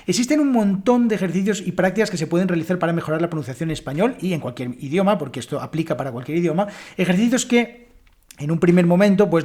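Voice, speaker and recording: A man's voice: fairly high pitch, a little mellow. Chatty Spanish man from Spain teaching. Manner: chatty, with frequent fillers and restarts. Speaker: Spanish teacher and podcast host. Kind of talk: solo podcast lesson.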